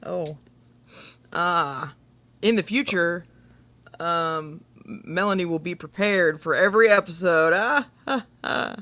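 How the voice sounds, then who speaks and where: A woman's voice: on the low side, takes it slowly, bright, forceful tone, no echo. A woman co-hosting a podcast, chatting casually in a playful, joking way. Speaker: podcast co-host.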